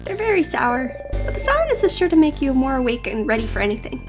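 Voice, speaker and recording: An eager, blunt little kid played by a woman, high and bouncy in pitch, explains things with great earnestness. Game music plays behind. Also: very animated, fast. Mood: earnest, proud.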